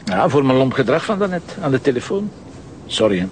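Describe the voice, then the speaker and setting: A Flemish male detective played by an actor in a crime drama. He speaks at an average pitch, terse and wry, with little patience for fuss. Some background noise and rumble sit behind the voice. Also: sing-song, a little fast, darkish tone, close to an everyday voice, low-quality recording. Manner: terse, dry, impatient. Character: blunt, wry male deputy police commissioner. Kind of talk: naturalistic crime drama acting.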